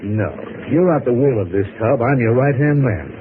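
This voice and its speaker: A man's voice: medium pitch, lively intonation, moderate pace, phone-quality sound, close to an everyday voice. An actor playing a menacing, sardonic gunman in 1950s radio drama, talking with mocking politeness. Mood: sardonic menace. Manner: smooth-talking, mocking, threatening. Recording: noisy, band-limited vintage radio recording.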